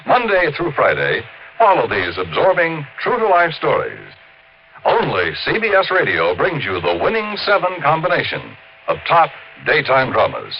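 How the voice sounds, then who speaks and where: A man's voice: fairly high pitch, wildly swooping pitch, moderate pace. A man with an American accent announcing from a script in the polished, emphatic, theatrical style of 1950s radio. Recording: dull, slightly noisy 1950s radio recording.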